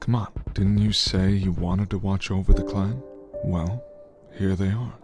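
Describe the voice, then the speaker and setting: A man plays an easygoing warrior brother in an audio drama. His voice is very low and relaxed, full of encouragement, with music clearly playing behind it. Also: measured pace. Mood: reassuring. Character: easygoing, reassuring older brother, a clan warrior. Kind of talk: dramatic game-script acting.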